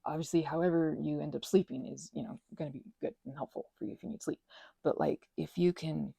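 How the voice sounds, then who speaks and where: A woman chatting gently in a meandering ASMR ramble, full of fillers and restarts, speaking affectionately to her listeners. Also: deep voice, quick pace, creaky, breathy edge, no room sound. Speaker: ASMR creator. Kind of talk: soft-spoken ASMR ramble while crafting.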